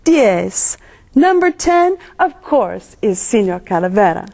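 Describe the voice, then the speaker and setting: A woman voicing a cheerful, unhurried old grandmother in a children's storybook, politely and brightly putting off a visitor. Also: medium pitch, big pitch swings, full character voice. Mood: delighted. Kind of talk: children's storybook read-aloud.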